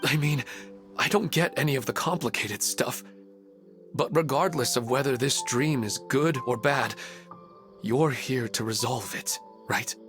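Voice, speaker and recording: A man's voice: mid-range voice, measured pace, fairly bright tone, natural voice. An actor playing an earnest, humble husband and father in a video game, plain-spoken and sincere. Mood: wistful, resigned. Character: earnest family man, humble, grateful, wistful husband and father. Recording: music clearly behind the voice.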